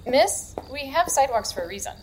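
A woman's voice: high voice, expressive, brisk, slight background noise. A woman playing a brash, wry small-town police officer in an audio drama. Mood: stern, wry.

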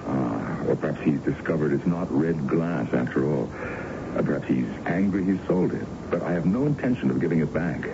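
Deep-voiced, creaky, courtly older colonel. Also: male voice, animated, normal speed, full character voice. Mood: evasive. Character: older smooth-talking colonel, courtly suitor with secrets. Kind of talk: 1970s radio drama acting. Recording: noisy, dull 1970s radio broadcast recording.

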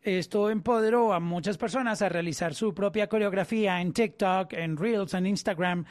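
A woman's voice: medium pitch, normal speed, darkish tone, no echo. A woman with a Colombian Spanish accent hosting an interview, building long thoughtful questions for her guest. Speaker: podcast host.

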